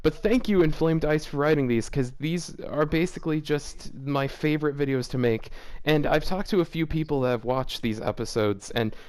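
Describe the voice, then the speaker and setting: A man's voice: a little high, darkish tone, smooth, dry recording. Awkward man reading aloud, dry sound. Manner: awkward and halting, with frequent "like" fillers. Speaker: channel host reading fan fiction aloud. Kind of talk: casual reading with reactions. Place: home shared with several people.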